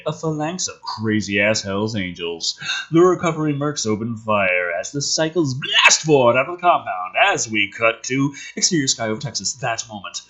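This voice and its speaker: A husky-voiced man reading a script aloud dramatically, with exasperated, sweary commentary as host. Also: a little high, pushed and bright. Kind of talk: animated script read-aloud with profane commentary.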